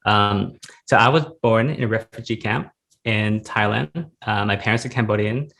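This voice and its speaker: A man from the United States, a guest on a podcast, speaking reflectively with frequent pauses and fillers. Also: on the low side, gravelly, fairly bright tone. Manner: thoughtful, many "um"s and "uh"s. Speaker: podcast guest, real estate investor. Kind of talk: reflective interview talk.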